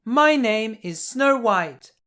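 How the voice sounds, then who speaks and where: Guy putting on a sweet innocent princess voice, high and light, slow n earnest, storybook style. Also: expressive, bright, forceful tone, clean tone, heavily acted. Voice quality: light falsetto. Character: gentle, innocent young princess, sweet and timid.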